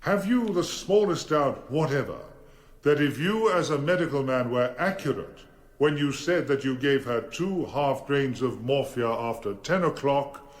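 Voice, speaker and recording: An actor playing a formidable, sardonic defence barrister, questioning insistently in a radio courtroom drama. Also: male voice, mid-range voice, animated, unhurried, close to an everyday voice. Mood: stern, probing. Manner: insistent, probing cross-examination. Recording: roomy, echoing courtroom-like sound.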